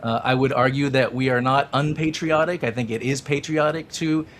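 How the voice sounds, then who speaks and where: A man's voice: mid-range voice, steady tone, normal speed, fairly bright tone, a little hiss or hum. A man co-hosting a casual soccer talk show, enthusiastic and rambling, with many fillers. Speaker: podcast co-host.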